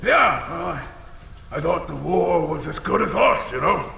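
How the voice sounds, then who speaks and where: A man voicing a battle-scarred old dragon in an audio drama, fiercely proud and bombastic, with a gravelly, folksy delivery. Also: fairly high pitch, very animated, a little slow, sharp tone, full character voice. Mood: proud, rambling. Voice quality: gravelly, creaky. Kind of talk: audio drama character acting. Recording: reverberant chamber, some background noise.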